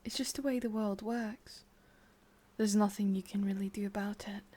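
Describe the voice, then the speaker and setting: Breathy, whispering English witch, played by a woman. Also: mid-range voice, fairly even intonation, warm tone, close to an everyday voice. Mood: wistful, melancholy. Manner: slow, halting, intimate murmuring with sighs. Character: sadistic, lovesick young witch, wistful and possessive. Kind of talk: whispered ASMR roleplay.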